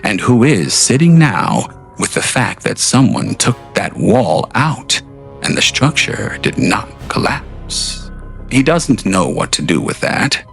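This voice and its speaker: A man narrating a scripted audio drama in a slow, solemn, deliberate way. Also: fairly low pitch, sing-song, gravelly. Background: faint music.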